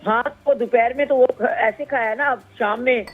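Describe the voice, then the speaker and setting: A woman calling in to a live cooking show, with a hoarse, slightly sore throat, chatting casually and teasing the contestants. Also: fairly high pitch, normal speed, music in the background. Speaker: phone-in caller. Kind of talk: casual phone chat. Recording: lo-fi phone line.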